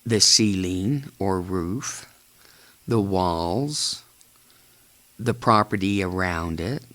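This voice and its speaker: A man with a fairly low voice leads a guided meditation at an extremely slow pace. He speaks in short, halting phrases separated by long pauses, and his pitch moves widely as he goes. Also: a bit bright. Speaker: guided imagery narrator.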